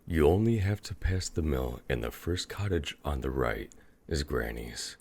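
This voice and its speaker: A man's voice: extremely low pitch, lively intonation, unhurried, vocal fry, a little mellow, breathy edge, heavily acted. A man voicing an innocent, trusting little girl in a fairy tale, with a guileless, polite manner. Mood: innocent, chatty.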